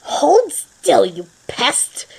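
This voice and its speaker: A flamboyant, high-voiced male reaper, acted out by a woman reading a story. He is theatrical and easily exasperated, throwing his lines out in loud, melodramatic bursts. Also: wildly swooping pitch, very slow, vocal fry, exaggerated voice. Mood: furious.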